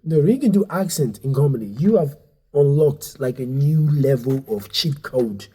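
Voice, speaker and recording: A man's voice: medium pitch, lively intonation, normal speed, mellow, slightly rough. A man in his thirties with a Nigerian accent, a comedian and club owner chatting loosely with many fillers and repeated words. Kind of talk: casual interview chat.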